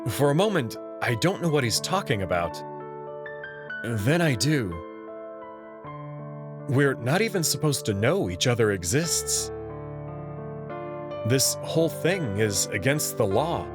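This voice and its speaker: A man reading a visual novel aloud in the first person, in an expressive, nervous way. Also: mid-range voice, takes it slowly, long pauses. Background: background music.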